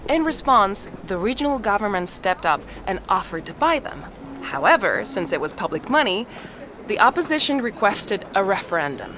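An actress playing a chatty, amused friend who tells an anecdote in an animated, gossipy way in an audio drama. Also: female voice, mid-range voice, moderate pace, music in the background, natural voice. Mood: amused, excited. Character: chatty, amused woman friend, enthusiastic storyteller. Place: outdoors on a walk in town. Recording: clear street noise, outdoor ambience.

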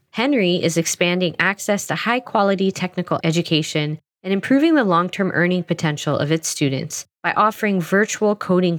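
Female podcast host, low register, scripted intros and interview questions.

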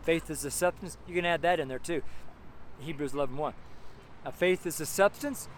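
A man's voice: a little high, a little fast. A man in his seventies giving an informal, rambling street sermon in a strong, carrying voice, exclaiming and repeating phrases for emphasis. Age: 70s. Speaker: street preacher. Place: outdoors on a city street among buildings. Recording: outdoor street noise, traffic ambience.

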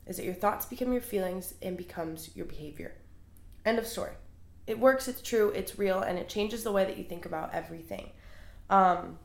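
A young woman with a cold and a congested voice, hosting her podcast in a chatty, earnest way. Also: medium pitch. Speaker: podcast host. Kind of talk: casual solo podcast monologue. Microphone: small handheld recorder. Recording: roomy echo.

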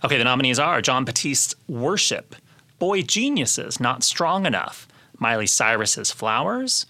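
A man's voice: medium pitch, big pitch swings, a little slow, bright, forceful tone. A man co-hosting a podcast in a casual, explanatory way, often hedging as he talks. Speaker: podcast co-host.

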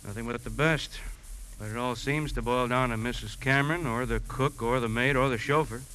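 1950s radio play, male actor as plain-spoken police lieutenant, sharp mid-range voice, faint hiss. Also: mid-range voice, a little fast, close to an everyday voice, low-quality recording. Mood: apologetic. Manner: matter-of-fact, slightly apologetic. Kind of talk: 1950s radio drama acting.

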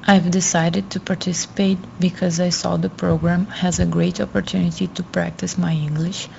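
Young woman reading her interview answers, low-ish voice, pretty monotone, muffled recording. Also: normal speed, vocal fry. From Brazil. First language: Portuguese. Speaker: guest student. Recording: dull lo-fi audio, faint noise.

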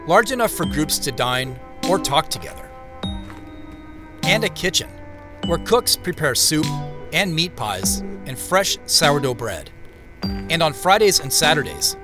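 An actor playing a wistful, imaginative man who narrates an audio drama in a measured, descriptive storytelling manner. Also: fairly high pitch. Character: wistful, imaginative male narrator picturing the past. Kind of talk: reflective first-person audio drama narration. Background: music.

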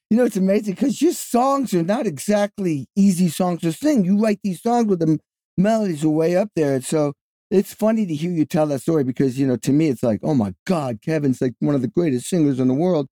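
A man hosts a podcast with an enthusiastic, emphatic manner. His slightly high voice has a mellow, warm tone, and he is recorded dry and close. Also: expressive, brisk, no room sound. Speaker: podcast host, drummer. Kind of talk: casual conversation.

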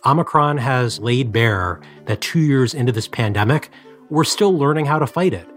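A man's voice: medium pitch, animated, moderate pace, a bit bright, faint music behind. A man who works as a reporter, giving somber, analytical commentary on a news story.

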